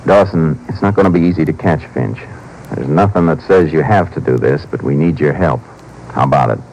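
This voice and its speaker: An actor playing a calm, steady Texas Ranger with a terse, measured, authoritative way of talking in an old-time radio drama. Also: male voice, a bass voice, vocal fry. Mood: steady, firm. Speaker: narrator and lead actor. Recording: noisy vintage radio drama recording.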